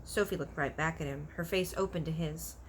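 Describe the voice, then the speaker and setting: A woman narrating a children's novel aloud in an animated storytelling style. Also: low voice, brisk, slight background noise, rough recording. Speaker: storybook reader.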